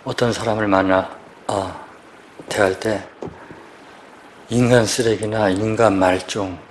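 A man in his fifties, a police officer, giving a quiet, halting personal testimony in church and asking for prayer. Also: fairly low pitch, steady tone, slight background noise. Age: 50s. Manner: quiet, halting, searching for words.

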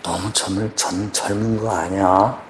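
Casting man, 50s, veteran police officer, low voice, quiet halting testimony before a congregation, faint background hiss. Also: fairly even intonation. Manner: quiet, halting, searching for words. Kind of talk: personal church testimony asking for prayer.

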